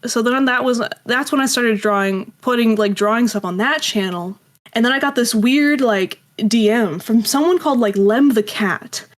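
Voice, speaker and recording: A woman's voice: on the high side, normal speed. A woman telling personal anecdotes as an interview guest in a casual, rambling, self-deprecating way. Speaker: interview guest, audio drama creator.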